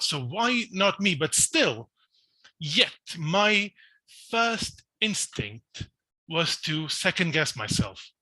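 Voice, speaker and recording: A man's voice: on the high side, sharp tone, no echo, rough recording. A middle-aged man from Portugal with a Portuguese accent, giving an earnest conference talk full of restarts and fillers. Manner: earnest, self-questioning, frequent restarts and fillers. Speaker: researcher giving a congress talk. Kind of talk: recorded online conference talk.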